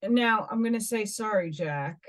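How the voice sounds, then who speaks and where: Slow, musing American woman. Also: mid-range voice, lively intonation, fairly bright tone. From New York, United States. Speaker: host of a metaphysical vlog. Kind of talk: reading articles aloud with rambling personal commentary.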